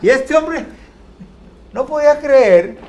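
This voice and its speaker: An older man, chatting on a panel with many pauses and restarts. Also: extremely high pitch, animated, darkish tone. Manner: hesitant, many restarts and fillers. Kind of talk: casual chat.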